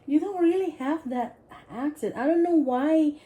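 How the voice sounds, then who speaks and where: A woman's voice: high voice, moderate pace, warm tone. A woman reading game questions aloud and teasing her husband in a casual chat. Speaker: wife asking the game questions.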